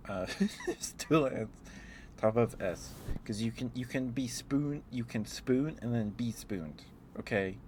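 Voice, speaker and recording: A man's voice: mid-range voice, takes it slowly, darkish tone, a little hiss or hum. A man doing a casual ASMR ramble as a livestreamer, playfully musing aloud and letting thoughts trail off.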